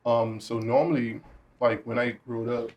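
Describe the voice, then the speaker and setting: A young man from the Bahamas, a guest musician talking in a relaxed way, with many fillers. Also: mid-range voice, normal speed, highs cut off, heavy background noise. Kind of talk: casual interview chat.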